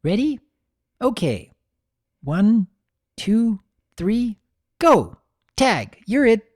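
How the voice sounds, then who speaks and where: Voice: medium pitch, very animated, very slow, darkish tone, no room sound, clean studio sound, voice pushed up, exaggerated voice. A performer voicing a bouncy, earnest little pony girl with an eager, childlike delivery, in a dramatic fan fiction reading. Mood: playful, teasing. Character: Sunny, bouncy excitable little filly girl, earnest and playful.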